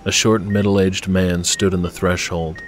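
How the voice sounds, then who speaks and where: A man narrates scripted fiction in a deep voice. He takes his time over each phrase, and there is faint noise behind him. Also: little pitch movement, a little slow. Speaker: audiobook narrator.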